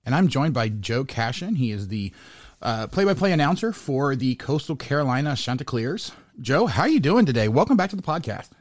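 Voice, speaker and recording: A man's voice: medium pitch, sing-song, moderate pace, no room sound, clean studio sound. A man hosting a sports podcast in casual talk, rambling, often repeating words and restarting his sentences.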